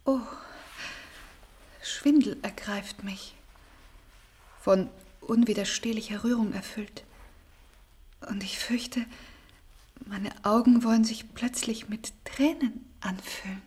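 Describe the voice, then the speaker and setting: An actress playing a sentimental lady who swoons with emotion, half whispering in a very breathy, theatrical way in a German radio play. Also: mid-range voice, slow, long pauses, a little mellow. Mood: overcome, tearful.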